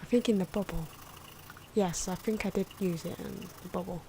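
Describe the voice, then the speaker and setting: Soft-spoken woman, low-pitched ASMR commentary. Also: fairly even intonation, normal speed, background noise. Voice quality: soft-spoken, at times whispery. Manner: unscripted, musing, self-correcting. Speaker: ASMR artist narrating own drawing. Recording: clock and pen noises behind. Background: ticking clock, pen scratching.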